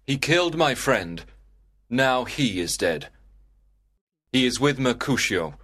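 A man's voice: medium pitch, sing-song, slow, fairly bright tone, slightly rough, soft background music, heavily acted. A man playing a grieving young lover turned avenger, brooding and dramatic, in an audiobook tragedy. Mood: grieving, resolute.

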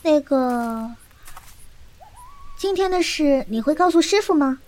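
Fierce, naive girl disciple for a martial-arts radio play, female actor: tiny, very high voice, blunt and proud. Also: expressive, slow pace, a little mellow. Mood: defiant, sulky. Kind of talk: Chinese wuxia audio drama acting.

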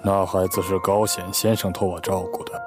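An actor playing a composed, courteous middle-aged lawyer in an audio mystery drama, speaking in a measured, thoughtful way. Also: male voice, sits low, little pitch movement, natural voice. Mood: calm, thoughtful. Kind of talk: radio drama acting. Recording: prominent background music.

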